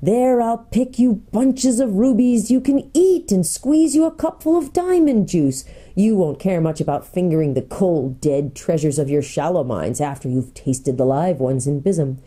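Woman voicing an eager little gnome man, animated. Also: on the low side, a little slow, slightly rough. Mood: wondering, enticing. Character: small excitable male gnome, eager and deferential.

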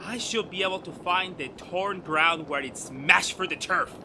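Man acting excitable, high-voiced gentleman astronomer, radio drama. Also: a little slow, noisy background. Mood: eager, insistent. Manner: theatrical, grandiose, professorial. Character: excitable, lecturing amateur astronomer, doting on his sweetheart.

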